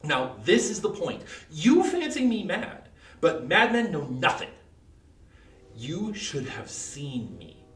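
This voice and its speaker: A man performing a halting, wildly swooping nervous madman. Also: a little high, huge pitch swings, a bit bright, heavily acted. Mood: insistent, boastful. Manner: halting, stammering, emphatic. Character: nervous, unhinged male murderer insisting on his sanity. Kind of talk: dramatic reading of Victorian horror. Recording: echoey room, distant microphone.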